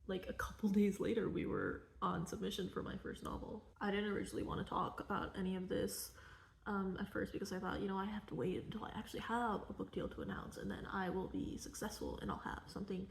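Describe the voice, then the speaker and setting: Young woman vlogger from Venezuela; gravelly low edges, candid and soft-spoken, roomy echo. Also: quick pace. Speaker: vlogger, cartoonist. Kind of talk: casual personal vlog. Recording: echoey room.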